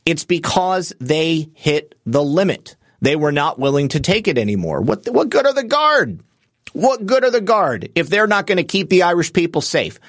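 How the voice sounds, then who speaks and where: A man's voice: on the high side, sing-song, moderate pace, a bit bright, no echo, clean studio sound. A man hosting a political talk show, delivering an unscripted monologue in a rambling, emphatic way with frequent fillers and restarts. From United States. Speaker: political commentary show host.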